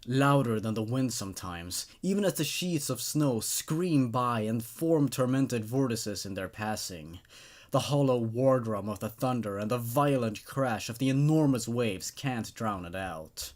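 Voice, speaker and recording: A man's voice: medium pitch, darkish tone. A man hosting and reading stories aloud in a scripted narration style.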